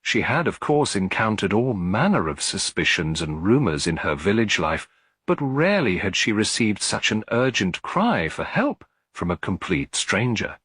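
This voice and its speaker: A man narrates a classic detective story in a deep, husky voice, with lively rises and falls in pitch. The reading is scripted storytelling, recorded very dry and close. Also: normal speed, dry recording. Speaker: audiobook narrator.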